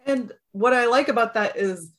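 A woman's voice: a little high, moderate pace. A woman hosting a conversational interview, talking casually and using "like" a lot. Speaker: podcast host.

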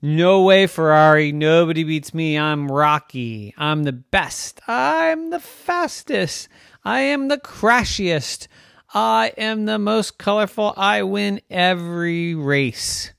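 Casting: a man playing a cocky storybook race car, high and loud, swooping pitch, brash and defiant. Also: very animated, slow, vocal fry, hardly any pauses, no echo, clean studio sound, full character voice. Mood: boastful. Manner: loud, bragging, repetitive declarations. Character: boastful, defiant young race car craving freedom.

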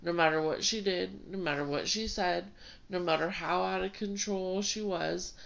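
A woman's voice: on the low side, normal speed, creaky, fairly bright tone. A woman pastor co-hosting a casual ministry talk, stuffed up from a cold, chatty with laughs and fillers. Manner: conversational, with laughs and many fillers. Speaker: pastor and co-host. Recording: dull lo-fi sound.

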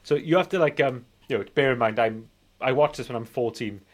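A man's voice: mid-range voice, brisk. A British man in his forties chatting casually as a podcast co-host, rambling and nostalgic. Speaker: film review podcast co-host. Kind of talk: casual chat.

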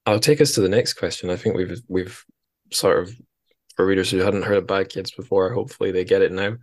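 A man's voice: deep voice, steady tone, a little fast. A man hosting a podcast in a casual book discussion, chatty and wry, rambling as he goes. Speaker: podcast host.

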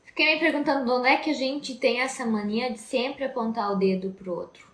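A Brazilian woman co-hosting a marriage talk, thoughtful and conversational. Her voice is slightly high. The room around her is echoey. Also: steady tone, brisk. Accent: Brazilian Portuguese.

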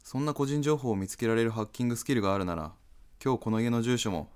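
A man plays a bewildered male straight man in a radio drama. He is polite but incredulous, hesitant and prone to stammering. His mid-range voice swings widely in pitch. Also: expressive, fast talker, clear, resonant tone, lightly acted. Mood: suspicious. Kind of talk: Japanese radio drama acting.

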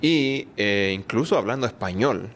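A man with a Chilean Spanish accent, a writer being interviewed, talking in a relaxed, chatty way with frequent laughs and tag questions. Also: lowish voice, unhurried, a little hiss or hum. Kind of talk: casual conversational interview.

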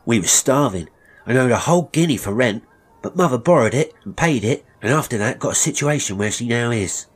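A man's voice: mid-range voice, expressive, brisk, husky, pitched above the performer's own voice. A man voicing a young apprentice boy, frightened and earnest, in a Victorian melodrama story. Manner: timid, pleading, earnest.

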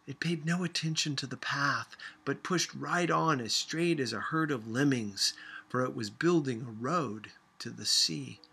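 A man reading a literary story aloud as an audiobook narrator, expressive and dramatic in his delivery. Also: medium pitch, a little slow, gravelly, breathy edge. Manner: dramatic, acts out dialogue. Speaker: audiobook reader.

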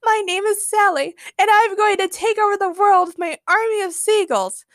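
A woman's voice: extremely high pitch, moderate pace, no echo, studio quality, pitched above the performer's own voice. A woman storyteller voicing a strange, theatrical lady villain who commands seabirds. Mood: gleeful menace. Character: cackling villainess commanding seagulls, theatrical then sheepish.

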